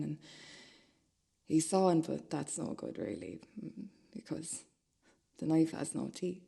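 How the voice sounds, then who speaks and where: An uneasy, obsessive loner acted by a woman rambles hesitantly through a radio play monologue. The voice is low, dark and mellow, with a husky breathiness. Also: full character voice. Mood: uneasy fascination.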